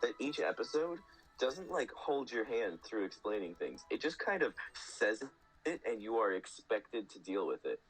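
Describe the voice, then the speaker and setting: A man's voice: fairly low pitch, sing-song, normal speed, husky, faint music behind, low-quality recording. A man chatting casually as a podcast guest, explaining things in an enthusiastic, rambling way with lots of "like". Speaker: guest speaker.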